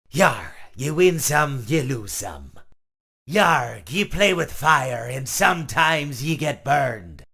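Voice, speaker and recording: A husky pirate fox robot growls out a slow, gravelly drawl. His voice is bright and tense at the edges. It suits a horror game. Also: on the high side, full character voice.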